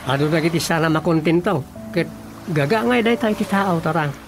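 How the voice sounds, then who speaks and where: A performer playing a calm, patient adult child advising a worried father, with an Ilocano Filipino accent, in a radio drama comedy. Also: mid-range voice, a little fast, creaky, music in the background. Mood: reflective, concerned.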